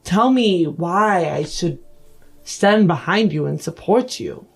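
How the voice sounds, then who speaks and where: Lady doing a rich bearded businessman in an audio drama, lowish voice, slow and formal, politely doubtful. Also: lively intonation, a little mellow, husky, faint music behind, exaggerated voice. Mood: skeptical, challenging. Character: bearded, wealthy male energy magnate, polite but skeptical.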